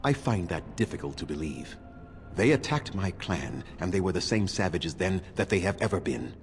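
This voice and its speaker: A man acting as a proud, bitter, ancient elf clan leader in a fantasy game, speaking in a measured, formal and condescending way. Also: low-pitched, lively intonation, faint music behind. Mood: contemptuous.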